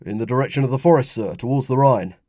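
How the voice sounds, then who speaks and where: A man's voice: mid-range voice, quick pace, dark tone, natural voice. A man voicing a stiff, formal Roman centurion reporting for duty in an audiobook. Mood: urgent, hushed. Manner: barking, formal military. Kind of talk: audiobook character dialogue.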